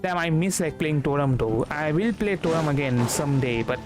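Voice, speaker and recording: A man's voice: on the high side, animated, normal speed. A young man, casually commentating a game livestream in a rambling way, repeating words. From India. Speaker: streamer. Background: game music.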